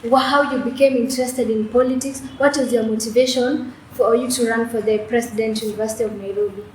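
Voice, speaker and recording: Young female talk show host; bright higher voice, halting delivery, roomy echo, light hiss. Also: fairly even intonation. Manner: stumbling, repeats words before questions. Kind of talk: interviewing. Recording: echoey room with faint noise.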